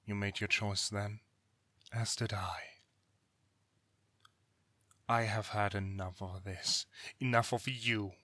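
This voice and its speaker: An ancient god, played by a man in an audio drama, speaking in a low, tense voice with formal, archaic gravity. He is a bitter, unrepentant brother, worn by millennia, and the sound is close and dry. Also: fairly even intonation, pushed and bright, no room sound. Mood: angry, resolute. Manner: formal archaic diction, grave, deliberate. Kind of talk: dramatic audio roleplay acting.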